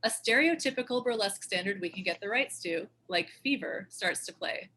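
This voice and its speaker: A woman's voice: mid-range voice, lively intonation, fairly quick, pushed and bright, husky. A woman reading stage directions as scripted narration for a screenplay table read.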